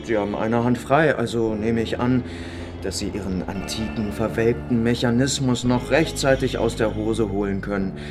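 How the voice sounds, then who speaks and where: Actor as a smug, spiteful Soviet officer. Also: male voice, lowish voice, moderate pace, music in the background, close to an everyday voice. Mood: sneering. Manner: mock-polite, gloating, sarcastic. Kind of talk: German hardboiled radio crime drama acting.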